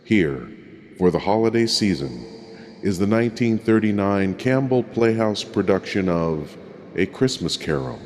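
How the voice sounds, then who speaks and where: A man hosts a horror show in a very low voice, thickened by the flu. His delivery is theatrical, slow and halting, and it carries a long hall-like reverb. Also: darkish tone, slight background noise. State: voice deepened by the flu. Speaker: horror host. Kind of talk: theatrical horror-host introduction.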